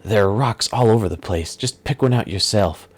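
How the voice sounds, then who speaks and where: A man voicing a quick-witted young male companion with a wry, stalling manner. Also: lowish voice. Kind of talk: audiobook reading.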